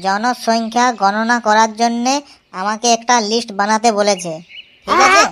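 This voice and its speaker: A man's voice: very high-pitched, steady tone, normal speed, clear, resonant tone. A man voicing an eager, naive young village census worker in a comedy cartoon, chatty and repetitive, with a rural West Bengal Bengali accent. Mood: chatty, questioning. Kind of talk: amateur comedy cartoon dialogue. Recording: loud background noise, clicky home dub.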